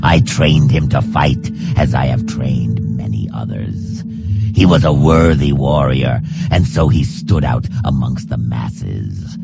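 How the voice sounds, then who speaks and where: An extremely low, gravelly voice for a proud lizardfolk assassin trainer in a fantasy game. He speaks slowly and formally, with stern, hardened authority. Loud music plays behind him. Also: full character voice. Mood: solemn, proud. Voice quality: gravelly, growling. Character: hard, proud male Argonian reptilian assassin combat trainer. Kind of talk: fantasy game character dialogue.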